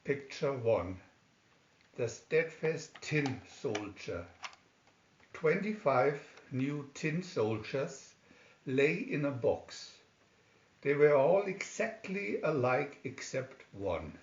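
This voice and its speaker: A man reading a fairy tale aloud as scripted narration, with a deliberate, halting delivery. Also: medium pitch, big pitch swings. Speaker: storybook reader. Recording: echoey room, dull lo-fi sound.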